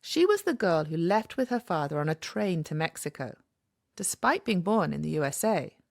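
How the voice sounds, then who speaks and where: Lively, gravelly woman narrator. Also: fairly low pitch, moderate pace, no echo, clean studio sound. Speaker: documentary narrator. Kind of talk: scripted documentary narration.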